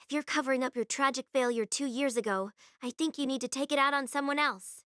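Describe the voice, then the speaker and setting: An actress playing a hot-tempered, scornful young military student in an audio drama, confrontational and accusatory. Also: female voice, sits high, quick pace, bright, forceful tone, dry recording, clean studio sound. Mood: scornful, accusing. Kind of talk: anime-style audio drama acting.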